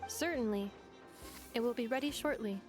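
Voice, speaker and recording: A woman voicing a polite, precise young female robot assistant in a video game, speaking in a measured, formal, clinical way. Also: a little high, music in the background, lightly acted.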